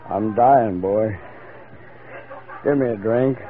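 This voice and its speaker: Gruff dying gunman, radio western; weak, deep, dulled vintage sound. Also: slow pace, some chatter behind. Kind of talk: old western radio drama. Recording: muffled old radio recording.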